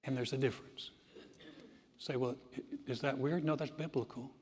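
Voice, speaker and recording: A man preaching a sermon as pastor, moving from easy conversational teaching into loud, emphatic delivery. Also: medium pitch, steady tone, normal speed, long reverb tail.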